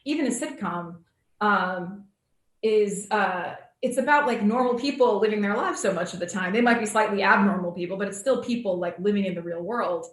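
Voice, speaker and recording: A woman's voice: mid-range voice, normal speed. A woman moderating a casual panel discussion over a video call, thinking aloud in a hesitant way. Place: remote video call in an echoey room. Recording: roomy, echoey video call audio.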